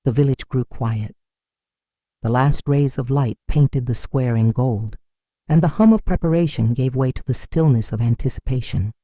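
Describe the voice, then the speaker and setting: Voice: mid-range voice, mellow, dry recording. A narrator telling a dramatic folktale from a script in a solemn, literary manner.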